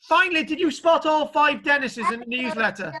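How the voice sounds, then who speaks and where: A man's voice: very high voice, lively intonation, fairly quick, sharp tone. A man lecturing an online archaeology class, chatty and jokey, rambling a little as he talks. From Britain. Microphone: video call.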